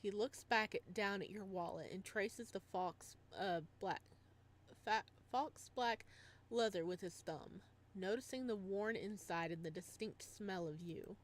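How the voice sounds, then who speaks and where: A woman's voice: medium pitch, measured pace. A woman playing a game on her channel, reading its story aloud as dramatic narration mixed with casual commentary.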